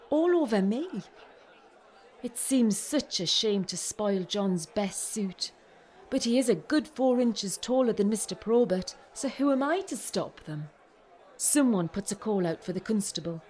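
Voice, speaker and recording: A woman playing an excitable, romantic young Victorian woman in a radio play monologue, telling her story in a confiding, expressive way. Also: medium pitch, lightly acted. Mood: amused, animated.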